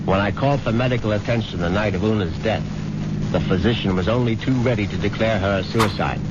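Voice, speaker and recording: An actor playing a smooth, greedy, murderous husband in a 1970s radio drama, suave, unctuous and smug. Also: male voice, on the low side, quick pace, heavy background noise, natural voice. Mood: smugly confiding. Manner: suave, unctuous, smugly self-satisfied. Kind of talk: 1970s radio drama acting with confiding narration. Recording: dull 1970s radio recording, loud music behind.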